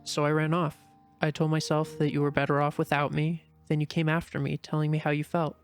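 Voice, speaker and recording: A man voicing a shy, earnest young female rabbit in an amateur dramatic reading, speaking softly and from the heart. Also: a little high, monotone, a little fast, soft background music, close to an everyday voice. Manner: soft, heartfelt, anxious inner murmuring. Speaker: voice actor in a fan reading.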